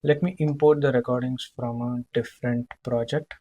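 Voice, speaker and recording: A man demonstrating software in a live screen-share walkthrough, speaking haltingly, repeating himself and often checking in with a short tag question. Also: mid-range voice, steady tone. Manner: halting, repetitive, checking in with "right?".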